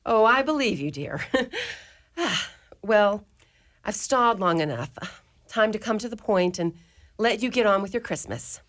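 A woman playing an outspoken elderly mother superior of a convent in a community radio play, talking brisk and firm. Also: mid-range voice, expressive, fairly bright tone. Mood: wry. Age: older. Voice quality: brisk, firm and preachy, with ready laughs. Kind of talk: community radio drama acting.

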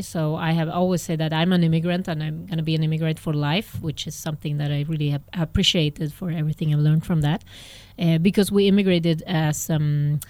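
Low-voiced woman, laughs often. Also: dry recording. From Sweden. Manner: warm, laughing often, thinking aloud. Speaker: guest entrepreneur. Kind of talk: casual interview chat.

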